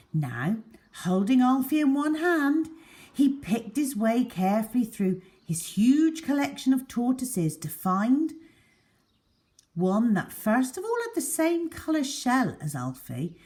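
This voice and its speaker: A woman reading a children's story aloud as a storyteller, deliberate and dramatic in her delivery. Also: a little high, dark tone.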